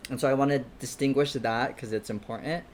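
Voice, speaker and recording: A young man with an average-pitched voice, talking thoughtfully on a student podcast. He works his ideas out as he goes, often backing up to rephrase what he just said. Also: fairly even intonation, brisk, a little hiss or hum. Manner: thoughtful, corrects and rephrases himself mid-thought. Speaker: podcast panelist. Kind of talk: casual podcast discussion.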